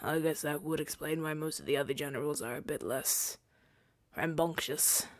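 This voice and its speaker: A man's voice: a little high, normal speed, no echo, natural voice. An earnest rebel hero from a fantasy audio drama, acted by a man, argues his side in a natural, stumbling way. He sounds defensive and exasperated, speaking close to the mic in a very dry space. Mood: incredulous, arguing. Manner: conversational, stammering, exasperated arguing. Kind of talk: ASMR-style audio roleplay acting.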